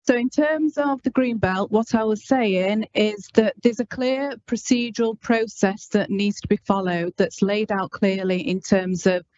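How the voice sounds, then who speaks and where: A woman planning officer with a loud voice, explaining procedure to a committee in a measured way. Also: medium pitch, no room sound. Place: council chamber. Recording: dull dry meeting audio.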